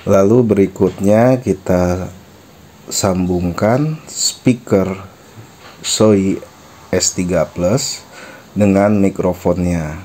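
A man walks through a product demonstration step by step. His voice is low and his delivery is slow and instructional. There is faint noise behind him. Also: warm tone. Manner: explanatory, instructional. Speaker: product tutorial presenter. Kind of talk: step-by-step product tutorial narration.

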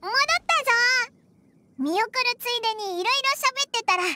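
An actress voicing a tiny, childlike, nosy fairy companion with expressive, boyish phrasing in a Japanese game. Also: female voice, very high voice, fairly quick, very noisy. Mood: cheery. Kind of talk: Japanese video game voice acting.

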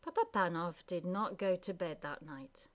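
A woman storyteller reading a storybook aloud in an expressive narrating style. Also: lowish voice, normal speed.

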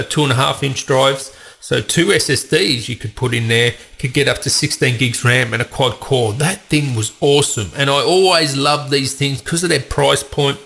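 Tech host, man, stuffed up from a cold, gravelly edge, chatty and opinionated. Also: medium pitch, a bit bright. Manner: chatty, opinionated, rambling asides. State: has a cold, congested voice. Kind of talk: casual tech news commentary.

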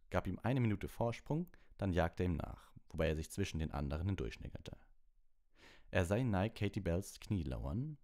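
A man narrates an audiobook in a fairly low voice. His delivery is expressive, with pitch that moves around a great deal. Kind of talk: expressive scripted story narration.

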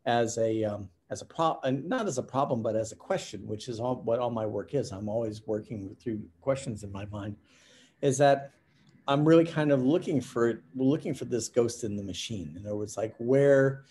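A man's voice: mid-range voice, darkish tone. A middle-aged man presenting his artwork over a video call, talking casually with many fillers and restarts. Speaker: artist presenting work.

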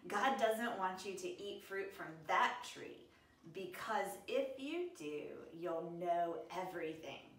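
A woman voicing a sly, sneaky male serpent in a children's Bible story, hissing softly. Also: medium pitch, animated, slow. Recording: echoey room, slightly distant mic.

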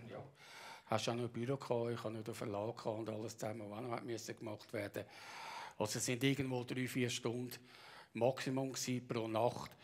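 A middle-aged or older man with a Bernese Swiss German accent, giving a halting personal account on stage and often clearing his throat. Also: medium pitch. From Bernese Oberland, Switzerland. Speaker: practitioner giving a talk.

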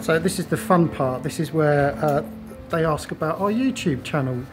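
A man's voice: a little high, normal speed, over music. A middle-aged man chatting casually to camera as he vlogs on location. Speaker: vlogger. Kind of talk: casual vlog narration to camera. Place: on location: streets, car, offices. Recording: clear ambient noise, traffic and rooms.

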